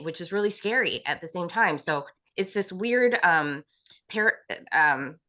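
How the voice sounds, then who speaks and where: A woman guest talks in a gushing rush, dotting her sentences with "like" and restarting her thoughts. Her voice sits low but rings bright and forceful. The recording sounds dull and lo-fi. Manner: gushing, quick, many "like"s and restarts. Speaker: panel guest, business owner. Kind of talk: casual interview talk.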